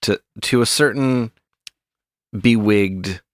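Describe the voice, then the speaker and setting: A man's voice: on the low side, slow, no echo. A man co-hosting a podcast, trading dry jokes in casual banter. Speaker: podcast co-host.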